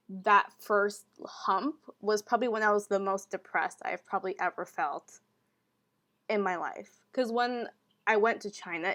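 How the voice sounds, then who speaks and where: A young woman co-hosting a podcast, telling stories in a relaxed, casual way and often saying "like". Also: mid-range voice. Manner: relaxed storytelling, heavy use of "like". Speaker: podcast co-host. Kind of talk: casual chat.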